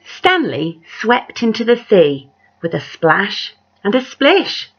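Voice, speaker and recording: A woman reading a children's picture book aloud like a teacher, dramatic and measured, putting questions to her young listeners. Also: mid-range voice, sing-song, slight background noise. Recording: dull, lo-fi sound.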